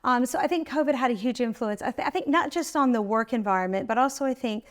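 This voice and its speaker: A woman's voice: fairly high pitch, fast. A middle-aged woman from Italy, an interior designer, talking chattily and enthusiastically with many fillers as a guest in a client's house. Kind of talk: conversational interview.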